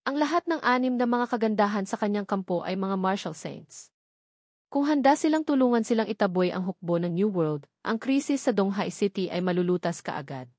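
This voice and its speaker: A woman's voice: mid-range voice, animated, fairly quick, a little mellow, dry recording, studio quality. A woman reading a novel aloud as a scripted narration. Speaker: audiobook narrator.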